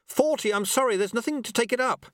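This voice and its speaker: A man's voice: high voice, lively intonation, moderate pace, fairly bright tone, no echo, studio quality, pitched above the performer's own voice. A man voicing an earnest, anxious young male novice in an audiobook, hesitant and eager. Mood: anxious, apologetic. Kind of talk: audiobook character voice.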